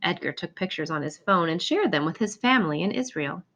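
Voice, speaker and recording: A woman's voice: fairly low pitch, a little fast. Woman reading a picture book, slightly muffled recording. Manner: expressive, acts out exclamations and dialogue. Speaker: storybook reader. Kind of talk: children's picture book readaloud.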